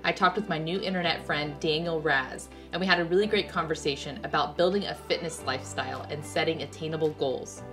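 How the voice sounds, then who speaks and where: An upbeat woman in her thirties hosts a podcast over a headset from her living room. Her voice sits on the low side, with faint music under her intro. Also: fairly quick, few pauses, fairly bright tone. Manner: enthusiastic, upbeat. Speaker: podcast host. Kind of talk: casual interview, scripted intro.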